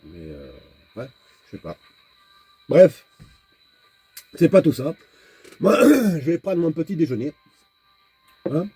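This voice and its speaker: A man talks to his viewers in a loose, wandering vlog monologue, often stumbling and repeating himself while he searches for words. His voice is husky and very dark and mellow, with faint music underneath. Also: on the high side, wildly swooping pitch, slow pace, long pauses, no room sound. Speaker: nomad vlogger. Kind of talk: casual rambling vlog monologue.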